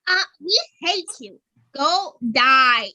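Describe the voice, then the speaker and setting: Jeering peasant for comic puppet skit female performer: high-pitched, forceful, blunt and fed up with the ruler. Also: lively intonation, slow, highs cut off, exaggerated voice. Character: heckling, resentful, blunt Russian peasant.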